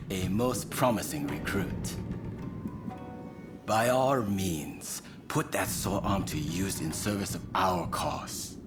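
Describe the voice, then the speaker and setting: A proud samurai staff officer in a video game. His voice is low and slow, formal and grandiose, with a scheming air. Music runs underneath. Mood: condescending approval. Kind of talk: English game voice acting, cutscene dialogue.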